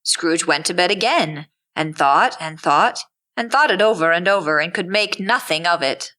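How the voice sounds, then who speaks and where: A woman's voice: fairly low pitch, lively intonation, normal speed, sharp tone. A woman reading scripted storybook narration for an audio drama.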